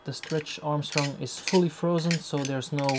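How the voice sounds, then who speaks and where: A Flemish-accented man in his thirties from Belgium, speaking English haltingly while cleaning a shredder in a workshop. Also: a little high, fairly even intonation. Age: 30s. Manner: halting, restarts phrases, searches for English words. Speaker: channel host. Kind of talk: unscripted workshop talk while working. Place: workshop by a running industrial shredder. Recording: machine noise and clatter behind.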